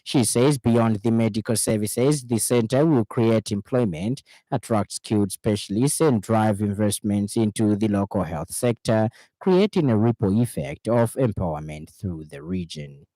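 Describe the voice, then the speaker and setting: A man with a Zambian accent reads the news on radio. His voice is lowish and dark, with little edge to it. He is formal and measured, in a dry, close studio sound. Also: no echo, low-quality recording. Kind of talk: scripted news bulletin reading.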